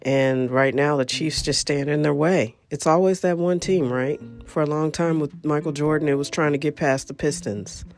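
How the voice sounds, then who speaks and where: A woman's voice: low voice, normal speed, hardly any pauses, darkish tone, soft background music. A woman hosting a solo podcast, congested and coughing now and then, talking in a chatty, rambling, emphatic way. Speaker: podcast host. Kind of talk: casual solo podcast chat about football.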